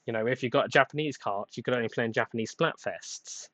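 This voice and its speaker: A young man hosting a casual solo podcast, rambling and self-deprecating with many hesitations, a plain somewhat flat voice, getting over a cold. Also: mid-range voice, fast talker, no room sound, dull audio. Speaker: podcast host.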